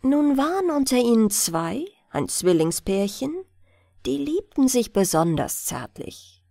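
A woman narrating a bedtime fairy-tale audiobook in an expressive storytelling style, close to the mic. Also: fairly high pitch, a little slow, creaky, no room sound.

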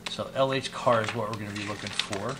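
A man in his fifties giving a casual, unhurried hands-on product demo, thinking aloud as he goes. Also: fairly low pitch, fairly even intonation. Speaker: product reviewer and video host. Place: small indoor hobby room at a desk. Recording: clear background noise, room ambience.

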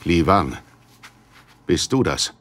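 An actor playing a grave businessman and grieving father in an audio drama, speaking slowly. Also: deep voice, very animated, a bit bright, exaggerated voice. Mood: wary. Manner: slow, grave. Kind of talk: German audio drama acting.

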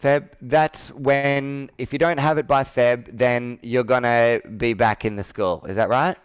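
A man in his thirties speaks in a smooth, resonant voice with a dry, close sound. He talks like a coach on a sales call, earnest and persuasive. He leans on personal stories and rhetorical questions to make his point. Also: mid-range voice, fairly even intonation, a little slow, no echo. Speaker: business coach. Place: indoor room with whiteboard, video call.

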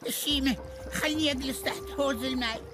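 An actor voicing a stubborn old neighbor in a cartoon, insistent, with an Emirati Arabic accent. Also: male voice, very high voice, fairly even intonation, quick pace, noisy background.

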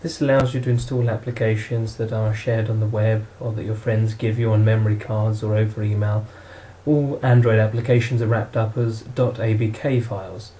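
A man's voice: on the low side, level tone, normal speed, hardly any pauses, dark tone, a little hiss or hum, rough recording. A man narrating an instructional product walkthrough in a plain, matter-of-fact way, as a demonstrator.

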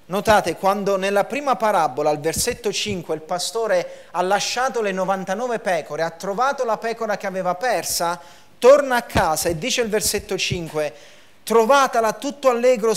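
A man in his thirties preaches from a lectern in a church hall, with a rather high voice. He stresses points by repeating words and gesturing as he reads passages aloud. The clip-on mic keeps him close and clear. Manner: emphatic preaching with repetition and gestures. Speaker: pastor. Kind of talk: sermon. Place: church hall, in front of a projection screen.

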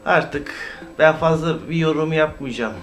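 A man giving informal, opinionated YouTube commentary in a halting, indignant, emphatic manner with rhetorical questions. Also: a little high, fairly bright tone, faint music behind. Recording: clear background noise, amateur recording.

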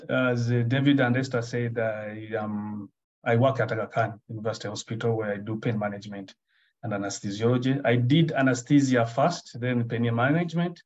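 A man's voice: mid-range voice, a little mellow. A man in his forties from Uganda giving a webinar lecture as a guest specialist, hesitant with many fillers and restarts. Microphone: video call. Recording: dull lo-fi call audio.

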